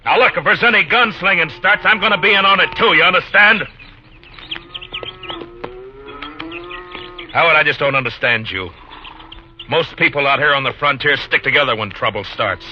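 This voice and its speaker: Stern American frontier marshal, muffled old radio. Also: male voice, on the high side, expressive, brisk, bright, forceful tone. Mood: firm, commanding. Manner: firm, plain-spoken, authoritative. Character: stern, weary frontier US marshal, fair but tough. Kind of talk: 1950s Western radio drama acting. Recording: muffled, noisy 1950s radio recording.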